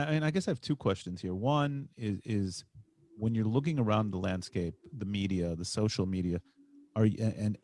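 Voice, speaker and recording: A male podcast host with a fairly low voice asks questions, thinking aloud and stumbling over his words. The recording is close and dry. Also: darkish tone, no echo. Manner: stammering restarts, thinking aloud with many "you know"s. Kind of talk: casual interview talk.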